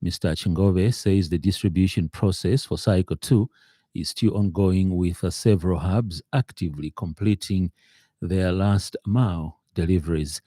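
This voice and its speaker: A very mellow, dark male voice reads the radio news in a Zambian accent. He sits low in pitch and delivers the script formally and with measure, in a dry studio sound. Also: normal speed, no echo.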